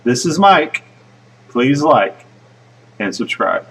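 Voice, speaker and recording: A man narrating a video in a casual, explanatory way, informal and halting as he muses aloud. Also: mid-range voice, sing-song, fairly bright tone, husky, far from the mic.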